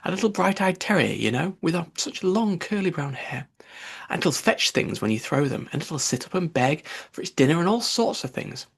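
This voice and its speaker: Storybook little girl, played by a man: polite, flustered, chatty, pitched up with a husky edge. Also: very animated, normal speed, exaggerated voice. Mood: fond, chatty. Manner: earnest, apologetic, rambling.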